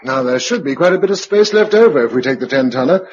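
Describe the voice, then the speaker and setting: An actor playing a practical, dryly humorous husband who keeps a journal after the apocalypse, in British radio drama style with measured, reflective delivery. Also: male voice, medium pitch, animated, slight background noise, natural voice. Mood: practical, brisk. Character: practical, wry husband keeping a survival journal in a post-apocalyptic world. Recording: dull, lo-fi radio drama recording.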